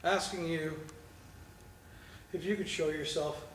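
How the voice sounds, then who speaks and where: A man's voice: fairly high pitch, fairly even intonation, a little hiss or hum. Coaxing man echoing in an empty theatre. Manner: slow, coaxing and polite. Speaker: paranormal investigator. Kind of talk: calling out to a spirit. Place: large old theatre, indoors. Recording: echoey hall from far off.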